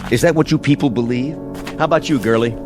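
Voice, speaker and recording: A man's voice: medium pitch, fast talker, over music, close to an everyday voice. An American man playing a domineering, mocking army major in an audio drama, talking in sarcastic, slangy, menacing lines. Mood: contemptuous. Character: domineering, mocking army major enforcing order after nuclear war.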